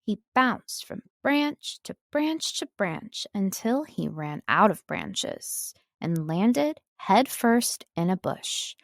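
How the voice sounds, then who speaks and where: A woman narrates a story with expressive, dramatic delivery. She takes her time over each phrase in a mid-range voice, recorded very dry. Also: medium pitch, slow, vocal fry, hardly any pauses, no echo. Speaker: audiobook narrator, voice-over artist. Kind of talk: scripted storybook and fantasy narration.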